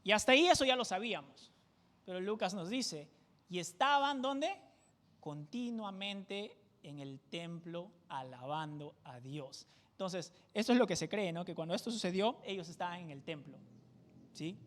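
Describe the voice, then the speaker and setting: Man, Peruvian jungle accent, high-pitched, conversational Bible teacher, reverberant hall. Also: animated, moderate pace, fairly bright tone. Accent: Peruvian jungle (selva) Spanish. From Peru. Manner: conversational, keeps checking in with tag questions. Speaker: pastor teaching a Bible study. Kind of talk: verse-by-verse Bible teaching sermon.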